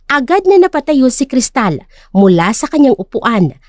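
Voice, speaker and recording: A woman's voice: high voice, big pitch swings, moderate pace, darkish tone, no room sound, studio quality. A woman narrating a scripted dramatic story.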